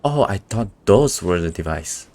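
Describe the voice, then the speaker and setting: A man's voice: low-pitched, lively intonation, moderate pace, a little mellow. A man giving casual live commentary as he streams a game, muttering dry asides to it. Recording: game music behind the voice. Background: game music.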